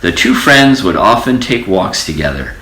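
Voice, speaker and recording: Deep-voiced man reading a storybook, very expressive. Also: moderate pace. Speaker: storybook reader. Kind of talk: children's storybook read-aloud. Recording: echoey room.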